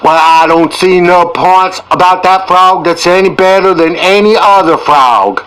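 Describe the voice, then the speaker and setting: A man as a slow, skeptical rustic stranger. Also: high voice, fairly bright tone, clean tone, rough recording. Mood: deliberate disdain. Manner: very deliberate, drawn-out rustic speech. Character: slow, skeptical male stranger, deliberate and sly. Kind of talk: storybook character voice in audiobook.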